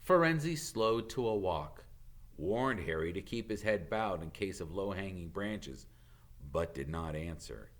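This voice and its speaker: A man reading a story aloud as an animated, dramatic narrator. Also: low-pitched, unhurried. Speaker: audiobook reader.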